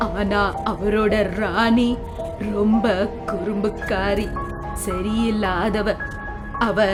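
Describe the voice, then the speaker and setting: A woman's voice: mid-range voice, lightly acted. A woman voicing an old village grandmother telling a tale. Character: older village grandmother storyteller. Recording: cartoon audio over loud music.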